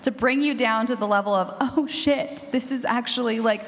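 A woman's voice: fairly high pitch, moderate pace. A woman speaking as a panelist in a live discussion, informal and thoughtful. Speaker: panelist, horror fiction podcaster. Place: large echoey room at a convention panel. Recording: echoey hall.

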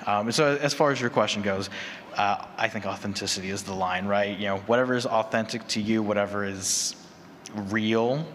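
A man with a fairly low voice moderates a live panel discussion. He talks conversationally, sprinkling in little tag questions. The hall rings around him, with faint noise behind. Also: normal speed, a bit bright. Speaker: panel moderator and horror podcaster. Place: large conference room with audience. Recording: echoey hall with background noise.

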